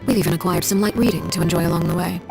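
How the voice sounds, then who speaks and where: A woman's voice: lowish voice, racing, gravelly. A woman playing a stern, coolly composed, unyielding video game character who speaks in a formal, authoritative way. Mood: cold, dismissive. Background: game music.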